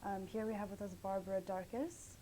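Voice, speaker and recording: A woman's voice: mid-range voice, steady tone, fairly quick, warm tone, a little hiss or hum, low-quality recording. A young adult woman conducting an oral history interview, asking questions in a tentative way with many fillers.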